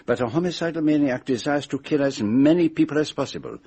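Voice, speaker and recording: A man's voice: on the high side, fast talker, dark tone, breathy edge. An actor playing a shrewd, courteous male detective in a radio drama, with a Belgian French accent and a formal, precise way of talking. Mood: expository, assured.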